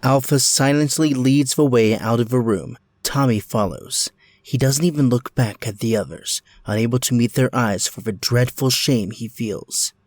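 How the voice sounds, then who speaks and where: A man narrating an audio drama from a script in a measured, dramatic storytelling style. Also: medium pitch, a little mellow.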